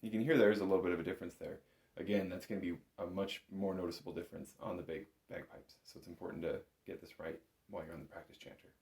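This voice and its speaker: A man's voice: sits low, steady tone, fast talker, a little mellow, a little hiss or hum. A man giving a step-by-step music lesson as an instructor, talking in an informal, patient, encouraging way. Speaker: bagpipe instructor. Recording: roomy, echoing room sound.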